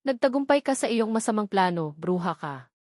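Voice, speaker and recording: A woman's voice: medium pitch, animated, a little fast, darkish tone, no room sound, close to an everyday voice. An older matriarch villain, acted out by a woman narrator, cold and full of authority. She speaks with hard scorn in romantic-drama style. The recording is very dry. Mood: scornful.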